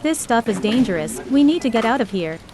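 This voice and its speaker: A woman's voice: fairly high pitch, sing-song, fairly quick, over music. A woman playing a sensible, alarmed scientist in a comic dub, speaking urgently.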